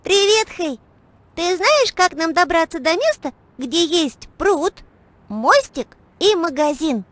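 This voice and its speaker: A woman voicing a cheerful, caring little girl who takes charge in a children's educational cartoon, eager and expressive. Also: very high-pitched, slow pace, no echo, exaggerated voice. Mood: thoughtful, friendly.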